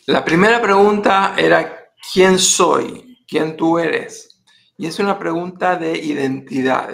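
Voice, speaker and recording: A man who works as a life coach, talking in a measured, reflective way and posing questions to the listener. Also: fairly high pitch, gravelly, fairly bright tone. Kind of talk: reflective motivational talk.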